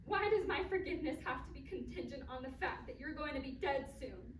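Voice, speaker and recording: A woman's voice: high voice, animated, a little fast. A woman playing an emotional grown daughter, hurt yet caring, in a staged play reading. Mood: anguished, resentful. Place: live indoor staged reading, far from mic. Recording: distant, echoey room recording with loud noise.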